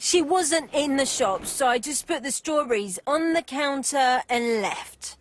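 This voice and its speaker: A woman's voice: sits high, lively intonation, a little slow, no room sound. An actress playing a blunt, defensive working-class woman fruit seller in a video game. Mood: explaining. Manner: blunt, colloquial.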